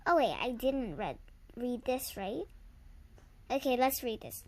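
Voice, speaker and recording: A woman's voice: on the high side, lively intonation, measured pace, a little mellow. A young girl reading a tall tale aloud informally, stumbling over words, correcting herself and adding asides to viewers. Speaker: reader of a readers theater script. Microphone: handheld camera held by the reader.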